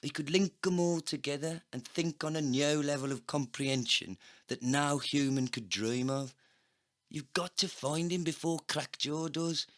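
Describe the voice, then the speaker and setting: A man's voice: fairly high pitch, measured pace, fairly bright tone, voice pushed up. A man voicing a foul-mouthed, ranting, hard-drinking cyborg agent, aggressive and emphatic, in a comedy sci-fi audio play. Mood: earnest, urgent.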